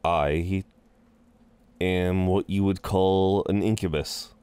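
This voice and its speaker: A man playing an amused, seductive incubus in an intimate roleplay audio drama, teasing and soothing in an unhurried, halting way. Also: deep voice, fairly even intonation, a little mellow, clear, resonant tone, no room sound. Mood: calm, curious. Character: amused, reassuring, seductive male incubus innkeeper.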